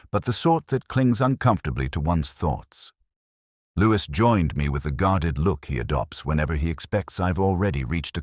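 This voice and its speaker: Very deep, measured male narrator. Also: no room sound, studio quality. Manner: measured, melancholic, introspective. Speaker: narrator of a detective story. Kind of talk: first-person scripted narration, literary audio drama.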